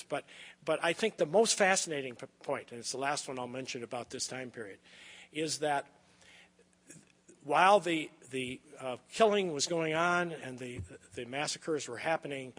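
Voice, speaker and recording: A man hosting an event, giving an informal introduction of a speaker in a halting, hedging manner. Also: fairly high pitch, fairly bright tone. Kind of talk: informal spoken introduction at a lecture. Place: large indoor lecture hall. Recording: lecture hall, long reverb.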